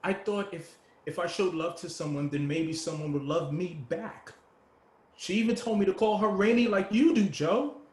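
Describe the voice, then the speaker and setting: Young homeless man, played by a male actor: nervous, boyish, stammering, higher-pitched, stage drama read in an echoey space. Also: normal speed, lightly acted. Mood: hopeful, tender. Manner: stammering, repeats himself. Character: anxious, childlike, eager young homeless man in his twenties. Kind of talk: stage play table reading.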